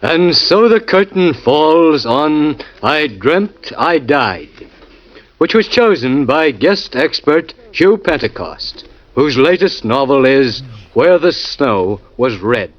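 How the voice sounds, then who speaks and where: A man announcing in formal 1940s radio style, measured and deliberate. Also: on the high side, big pitch swings. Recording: muffled 1940s radio recording.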